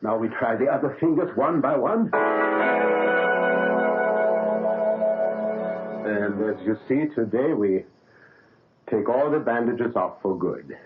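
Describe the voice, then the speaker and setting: An actor playing a proud, paternal surgeon in a 1970s radio drama, coaxing and insistent. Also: male voice, medium pitch, lively intonation, normal speed, over loud music, natural voice. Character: proud, paternal German surgeon, older man. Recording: narrow phone-like old radio broadcast.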